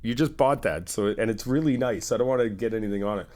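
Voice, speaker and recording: A man's voice: on the low side, fast talker, darkish tone. A man in a friend roleplay, chatting casually and upbeat to the listener, laughing often and teasing. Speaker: ASMR roleplay creator playing a best friend.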